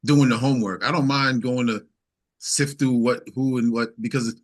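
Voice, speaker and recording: A man's voice: medium pitch, fairly even intonation, normal speed. A man speaking as a singer-songwriter guest in a casual interview, emphatic and repeating phrases as he builds his points.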